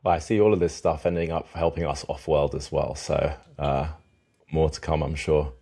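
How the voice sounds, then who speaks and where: A male podcast host with a very deep voice. He chats in a friendly, easygoing way, with a good number of "um"s and "like"s between his questions. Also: fairly even intonation, moderate pace, creaky, warm tone. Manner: friendly, chatty, with filler words. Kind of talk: casual interview hosting.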